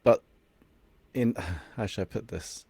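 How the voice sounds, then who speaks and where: A man's voice: lowish voice, slow pace, gravelly, warm tone, breathy edge, no room sound. A young man from England whose first language is Cantonese, a confidence coach speaking reflectively as a podcast guest, sometimes searching for words. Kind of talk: podcast interview.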